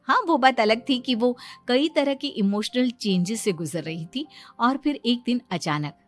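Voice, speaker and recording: A woman giving scripted storytelling narration for an animated moral story. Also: on the high side, unhurried. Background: music.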